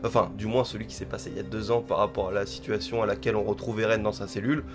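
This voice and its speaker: A man's voice: fairly low pitch, little pitch movement, racing, music in the background. A man with a stuffy head cold giving a casual spoken manga chapter review, rambling and thinking aloud as he hedges.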